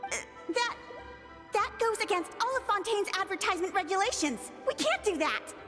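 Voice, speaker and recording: An actress playing a prim, wary, rule-bound official in a video game scene. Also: female voice, very high voice, expressive, moderate pace, fairly bright tone. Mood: hesitant objection. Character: prim, wary female official sticking to regulations. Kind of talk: video game side character acting. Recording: long echoing reverb, music behind.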